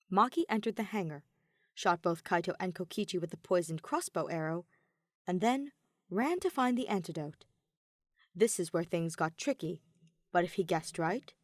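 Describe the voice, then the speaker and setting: A woman's voice: lowish voice, no room sound, studio quality. A woman reading scripted fiction as an audiobook narrator, in a dramatic storytelling style.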